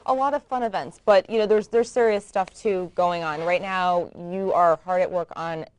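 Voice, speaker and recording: A woman co-hosting a talk show, asking brisk, friendly questions and wrapping up the segment. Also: lowish voice, steady tone, clean tone. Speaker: interviewer, co-host.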